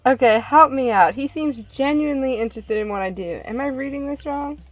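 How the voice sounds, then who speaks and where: A woman's voice: high-pitched, a little fast, rough recording. A woman casually reading a visual novel heroine's lines aloud and chatting with fellow players, dry and a little awkward. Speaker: co-player voicing the heroine in a playthrough. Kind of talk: casual read-aloud with group chat.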